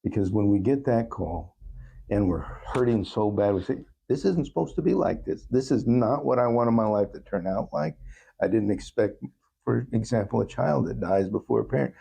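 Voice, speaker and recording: A man's voice: fairly low pitch, animated, moderate pace, gravelly, warm tone, rough recording. A man speaking earnestly as an interview guest, reflecting on his songwriting and repeating phrases for emphasis. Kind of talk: reflective interview talk about own songwriting.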